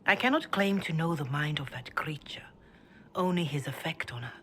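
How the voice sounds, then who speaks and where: An actress playing a calm, grave priestess who speaks softly and in a measured way, often half-whispered. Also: female voice, sits low, slight background noise. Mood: solemn. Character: calm elderly woman cleric, gentle and grave.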